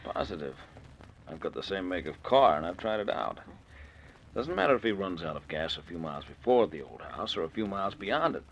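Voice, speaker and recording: Low-voiced scheming radio villain. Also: male voice, expressive, brisk. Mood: smug, calculating. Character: scheming, self-assured man plotting a murder with his lover. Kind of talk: old-time radio suspense acting. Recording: muffled, noisy old radio recording.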